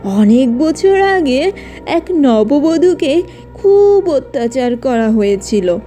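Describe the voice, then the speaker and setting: Woman as old grandmother, kindly, grave storyteller, cartoon, slow pace, darker tone. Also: high-pitched, sing-song, warm tone, faint music behind, exaggerated voice. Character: kindly protective old grandmother telling a grim legend. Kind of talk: children's cartoon acting.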